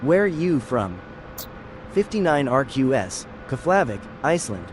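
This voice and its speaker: Flat male voice reading viewer chat. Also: medium pitch, measured pace, slight background noise.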